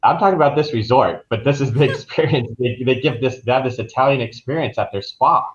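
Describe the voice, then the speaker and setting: A man's voice: mid-range voice, a little fast, highs cut off, a little hiss or hum. A man speaking as a guest travel professional in a casual interview, explaining things informally.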